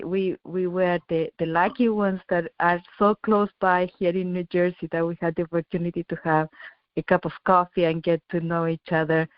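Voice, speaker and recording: A woman's voice: lowish voice, dry recording, lo-fi sound. A middle-aged woman from Chile, an engineer and company founder, speaking as a radio interview guest in an earnest way, restarting often and repeating words.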